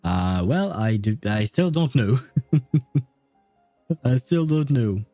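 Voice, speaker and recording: A man with a very dark, soft-edged voice at an average pitch chats through a game livestream. He is opinionated and talkative, speaking casually over background music. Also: very animated, slow, no echo. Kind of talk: casual livestream commentary while playing a game.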